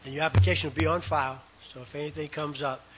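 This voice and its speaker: A man chairs a town board meeting and thanks an applicant in a formal, slightly halting way. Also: a little high, steady tone, noisy background.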